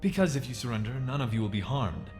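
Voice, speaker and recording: An actor playing a persuasive space pirate leader, controlled and wry, in an audio comic dub. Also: male voice, mid-range voice, very animated, quick pace, a little mellow, faint music behind, natural voice. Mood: controlled, bargaining. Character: smooth-talking, bargaining male space pirate leader.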